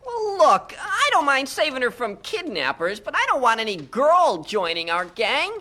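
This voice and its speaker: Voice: high voice, wildly swooping pitch, normal speed, bright, forceful tone, full character voice. An actor playing a talkative, opinionated know-it-all boy in a 1970s radio drama. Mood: indignant. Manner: opinionated, explaining.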